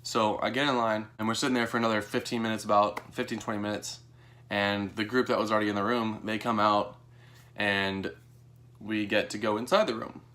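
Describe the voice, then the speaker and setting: Young American guy telling a story casually. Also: brisk. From Texas, United States. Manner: animated, acts out quoted dialogue in a higher voice. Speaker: vlogger.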